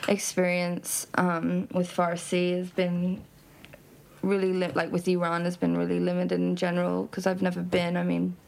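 A young woman who grew up in Papua New Guinea chats as a radio guest. Her voice sits low with a gravelly edge, and she answers with self-deprecating humor. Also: completely flat delivery, normal speed, darkish tone. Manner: self-deprecating, laughs while talking. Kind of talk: casual interview answers.

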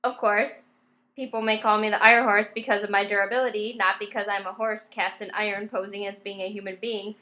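A woman's voice: mid-range voice, little pitch movement, fairly quick, pushed and bright, natural voice. A woman playing a proud, boastful baseball star in a comedic old-time radio play, with grand declarative delivery.

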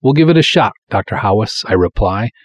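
A man's voice: lowish voice, expressive, fairly quick, slightly rough. A man playing a proud, folksy small-town cop who narrates his own pulp detective story in breezy, wisecracking, colloquial style. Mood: confident, casual. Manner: breezy, colloquial, hard-boiled wisecracking delivery. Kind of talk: dramatic first-person pulp story narration.

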